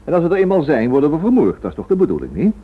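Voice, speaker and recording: An actor playing a defiant, shrewd captive husband in a Dutch radio drama, husky and sarcastic. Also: male voice, a little high, wildly swooping pitch, rapid-fire, heavily acted. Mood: bitter. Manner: dry, sarcastic. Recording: thin, phone-like old radio recording.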